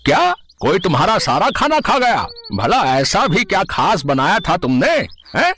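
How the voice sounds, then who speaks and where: Man acting a high-pitched, animated village man. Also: a little slow, slight background noise, heavily acted. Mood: incredulous. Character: nosy village men: cajoling neighbour, priest, headman. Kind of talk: animated moral-story character acting.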